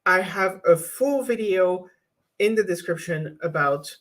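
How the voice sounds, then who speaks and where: A woman whose first language is French talks in a relaxed vlog style. She gives hands-on advice to viewers in a candid way, often stopping and restarting her sentences. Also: on the low side, unhurried. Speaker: YouTuber teaching cloud infrastructure. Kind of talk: casual vlog chat.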